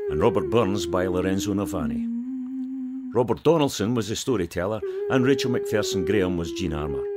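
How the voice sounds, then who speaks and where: A man reading scripted closing credits as an announcer. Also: on the low side. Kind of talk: scripted narration.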